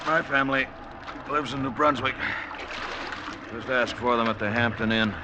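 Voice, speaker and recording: A man's voice: medium pitch, normal speed, natural voice. Guy playing loyal plain-talking sailor at the oars, old radio play, lots of sea noise behind him. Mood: grave, urgent. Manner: plain, deferential to his captain. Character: dutiful, steady seaman rowing a lifeboat, Billy. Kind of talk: 1940s-50s radio drama acting.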